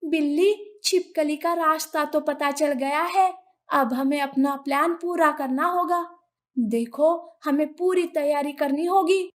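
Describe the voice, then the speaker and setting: A woman voicing an anxious, indignant mother hen in an animated children's story, plaintive and scolding. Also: sits high, slow, voice pushed up. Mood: anxious plotting.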